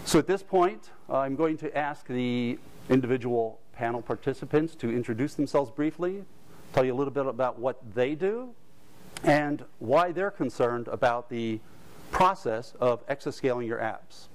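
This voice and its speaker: A man moderating a conference panel, speaking in a measured, formal manner as he makes announcements and introduces the panelists. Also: medium pitch, lively intonation. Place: conference hall with audience.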